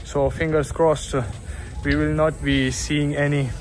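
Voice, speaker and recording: A man's voice: on the high side, flat delivery, a little slow. A young Pakistani man speaks to his phone camera on an open hillside, his voice slightly raised and upbeat as he sets up his test. Faint music plays under the outdoor vlog audio. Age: 20s. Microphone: phone held at arm's length.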